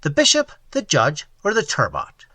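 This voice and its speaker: A man's voice: a little high, wildly swooping pitch, normal speed, bright, forceful tone, no room sound, full character voice. A man reading a languid, self-indulgent aristocrat with airy, drawling banter.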